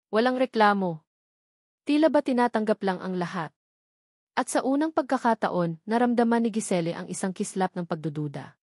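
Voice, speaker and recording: A woman's voice: medium pitch, a little mellow, dry recording, studio quality. A woman storyteller giving scripted, dramatic audiobook narration.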